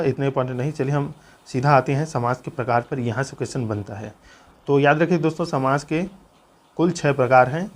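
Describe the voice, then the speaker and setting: A male teacher speaks. He talks at a middling pitch and explains study notes aloud for exam candidates. His delivery is instructive, built on definitions and reminders to commit them to memory. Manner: repeatedly urges listeners to remember, instructive.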